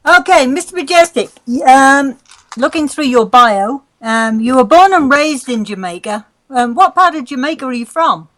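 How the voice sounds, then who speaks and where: A woman's voice: high-pitched, expressive, moderate pace, a bit bright. A woman co-hosting a live talk show, asking questions in a friendly way with light fillers. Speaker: co-host. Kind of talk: casual interview.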